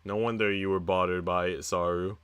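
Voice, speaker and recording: A man's voice: sits low, flat delivery, fairly quick, fairly bright tone, clear, resonant tone, no room sound, dull audio. A man streaming a visual novel, reading it aloud and breaking off for informal, slangy comments. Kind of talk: visual novel read-aloud with casual asides.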